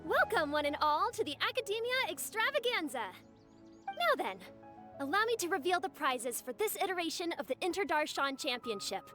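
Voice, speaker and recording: A woman's voice: extremely high pitch, very animated, normal speed, pushed and bright, faint music behind, heavily acted. A woman playing a young, showy festival organizer in a video game, announcing with theatrical grandeur. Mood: grand, excited. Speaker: event announcer.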